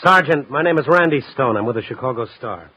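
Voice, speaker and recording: An actor playing a tough, dogged night-beat newspaper reporter in a 1950s radio drama, narrating and talking in a terse, urgent, hard-boiled way. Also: male voice, medium pitch, lively intonation, quick pace, pushed and bright, breathy edge, muffled recording.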